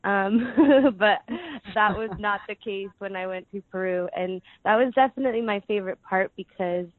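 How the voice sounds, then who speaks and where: A woman's voice: medium pitch, moderate pace, dry recording. Hesitant young woman on a tinny line. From United States. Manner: hesitant, many ums, soft laughs. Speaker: guest. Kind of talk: casual interview answers. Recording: dry tinny phone-like line.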